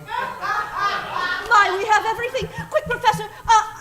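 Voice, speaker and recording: A woman playing an excitable, melodramatic heroine in an old-time radio parody. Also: very high-pitched, measured pace. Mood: flustered, giggly. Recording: live stage show with audience noise.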